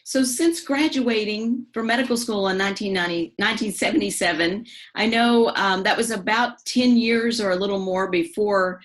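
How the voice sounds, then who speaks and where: A woman host opens the interview and asks her guest questions in a polite way. She uses a few fillers as she speaks. Her voice sits at an ordinary pitch for a woman. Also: moderate pace, few pauses. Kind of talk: interview introduction.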